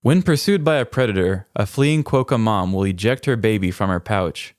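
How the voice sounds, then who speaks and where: A man's voice: on the low side, normal speed, heavy background noise. A man co-hosting a podcast, chatting casually and reading out fun facts with a playful, wry, cheeky manner. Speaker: podcast co-host. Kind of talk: casual comedic chat with read-out fun facts.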